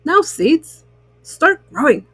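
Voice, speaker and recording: A woman's voice: high voice, big pitch swings, slow, a bit bright, dry recording, exaggerated voice. A woman voicing an impatient, easily flustered male toad in a children's storybook, with an insistent, fretful manner. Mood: demanding.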